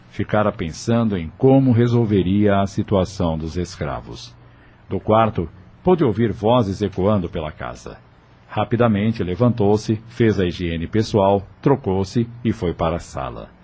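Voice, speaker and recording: A man's voice: deep voice, expressive, measured pace, warm tone, slightly rough. A man with a Brazilian accent narrating an audio novel in a scripted storytelling style.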